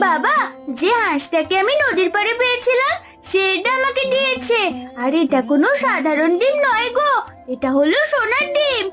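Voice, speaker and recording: A woman's voice: extremely high pitch, sing-song, moderate pace. A woman voicing a kind, earnest young village girl in a cartoon, over background music. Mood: eager. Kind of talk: cartoon voice acting.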